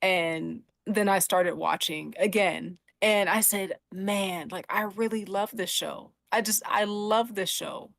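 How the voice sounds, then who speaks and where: A woman's voice: medium pitch, takes it slowly, creaky, few pauses, a bit bright, dry recording. Casual woman podcast panelist, close dry sound. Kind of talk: casual chat about a TV show.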